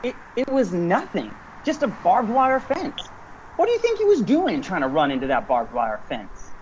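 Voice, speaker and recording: Man acting a lively, blunt hitchhiker. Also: high voice, moderate pace, a little hiss or hum, low-quality recording. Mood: baffled, wary. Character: brash, folksy, easygoing hitchhiker turning wary. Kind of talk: amateur radio thriller acting.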